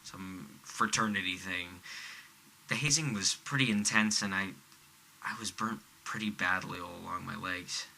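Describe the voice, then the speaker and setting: A man's voice: deep voice, a little slow, creaky, sharp tone, heavily acted, poor audio. A man playing an awkward young college dropout in an audio drama, hesitant and stammering, with a casually bitter edge. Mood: hesitant, downplaying. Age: young adult. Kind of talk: naturalistic audio drama dialogue.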